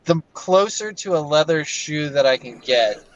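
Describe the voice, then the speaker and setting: A man's voice: a little high, animated, unhurried, a little hiss or hum. A man chatting casually in a wry, playful way from inside a car. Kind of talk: casual chat. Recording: dull, dry call audio.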